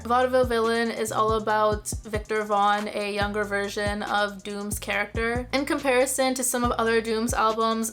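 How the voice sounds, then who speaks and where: A woman's voice: mid-range voice, fairly bright tone. A woman from Iraq giving an enthusiastic, descriptive vlog talk, sometimes wondering aloud to her viewers. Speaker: music video creator. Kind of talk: casual scripted vlog talk.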